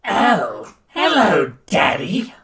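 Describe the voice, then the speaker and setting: A man voicing a sadistic, mocking alien symbiote villain in a dramatic story, with drawn-out, taunting delivery. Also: a little high, wildly swooping pitch, crowd noise, voice pushed up, exaggerated voice. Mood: gloating menace.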